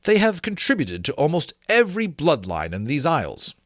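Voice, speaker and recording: Learned old-world gentleman lecturer played by a male reader, pompous and formal, highly animated pitch, dry studio-close sound, storybook narration. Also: normal speed, dry recording. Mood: lecturing, emphatic. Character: pompous learned Georgian gentleman scholar writing on dragons. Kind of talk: dramatic storybook reading.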